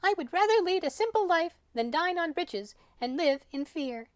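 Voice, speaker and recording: Storybook casting: meek girl mouse from the countryside played by a man, squeaky, extremely high, fluttering pitch. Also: animated, normal speed, no room sound, studio quality. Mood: earnest. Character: timid, polite little female country mouse.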